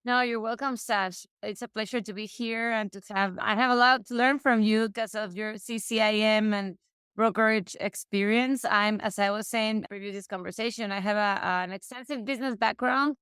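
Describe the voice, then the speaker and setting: A woman talks through her career as a podcast guest, often breaking off and restarting her sentences. Her voice is very clean and smooth. It sounds dry and close, with no room sound at all. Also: medium pitch, fairly bright tone, dry recording. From Mexico. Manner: chatty, frequent restarts and self-corrections. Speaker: guest, real estate investor. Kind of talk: casual interview conversation.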